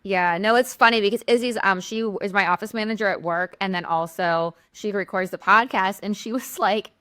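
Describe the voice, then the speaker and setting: A woman talks casually as a podcast guest, her voice bright and forward. She chats loosely with plenty of "like" and laughs often. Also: medium pitch, brisk. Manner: chatty, laughs often, lots of "like". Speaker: guest, business owner. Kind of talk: casual interview chat.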